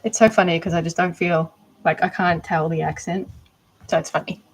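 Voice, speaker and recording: Chatty Australian woman, lowish voice. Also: steady tone, a little fast, husky, poor audio. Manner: chatty, rambling, thinking aloud. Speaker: art doll maker. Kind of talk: casual livestream chat while crafting.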